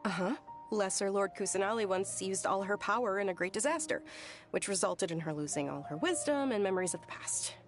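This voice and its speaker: A woman's voice: medium pitch, brisk, lightly acted. A woman playing a sincere, devout, slightly self-conscious young woman in a video game, earnest and emotive. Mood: heartfelt. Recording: game music under the voice.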